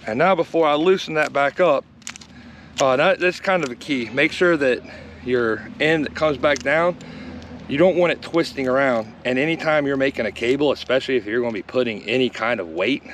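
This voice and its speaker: A middle-aged man giving a relaxed, matter-of-fact how-to demonstration outdoors in a yard, thinking aloud as he works on camera. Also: mid-range voice, normal speed, slight background noise. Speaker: homesteader demonstrating a repair. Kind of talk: casual how-to narration.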